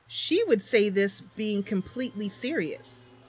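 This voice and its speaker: A woman's voice: medium pitch, animated, a little slow, breathy edge, faint music behind. A woman hosting a gaming channel, casually commentating on her roleplay, thinking aloud and explaining as she goes.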